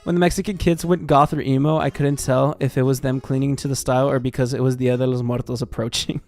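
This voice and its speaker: An American man livestreaming in casual chat, excitable and sweary, reacting and reading things aloud. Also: medium pitch, quick pace, faint music behind. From Texas, United States. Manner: excitable, swearing, jumps from muttering to shrieks. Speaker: livestream host.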